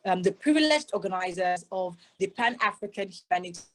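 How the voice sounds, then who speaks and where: A woman's voice: medium pitch, expressive, fast talker, fairly bright tone. A woman moderating an online conference, effusive as she sums up speakers and introduces the next one. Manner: effusive, enthusiastic. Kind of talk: conference hosting.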